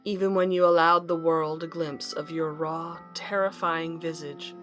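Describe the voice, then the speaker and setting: A tender, wistful professor narrating an audio drama. Her voice is fairly low, measured and intimate, with music playing softly underneath. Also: steady tone. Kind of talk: dramatic first-person narration.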